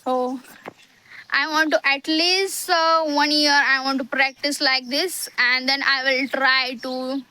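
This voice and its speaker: A woman's voice: sits high, fairly even intonation, slow, bright, forceful tone, no echo, low-quality recording. A young woman with an Indian accent vlogging to camera outdoors, speaking earnestly and encouragingly with frequent hesitations and restarts. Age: young adult. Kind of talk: motivational vlog to camera. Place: outdoors in a village.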